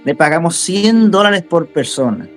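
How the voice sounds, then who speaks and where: A man's voice: fairly high pitch, expressive, a little slow. Venezuelan man telling his story, light music. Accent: Venezuelan Spanish. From central Venezuela. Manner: conversational, repeats words for emphasis. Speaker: interview guest. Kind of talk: casual interview storytelling.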